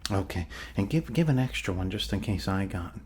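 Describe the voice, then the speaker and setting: A man's voice: deep voice, expressive, brisk, darkish tone, breathy edge. A man playing a friendly doctor in an ASMR roleplay, reassuring his patient in hesitant, rambling talk with joking asides. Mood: businesslike. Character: friendly rambling male doctor treating a zombie bite. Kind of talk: ASMR roleplay, improvised soft bedside talk.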